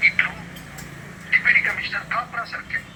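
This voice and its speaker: A man reading a scripted public service announcement in a formal, instructive manner, played over a street loudspeaker. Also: high voice, fairly even intonation, brisk. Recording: noisy street loudspeaker playback, telephone-like.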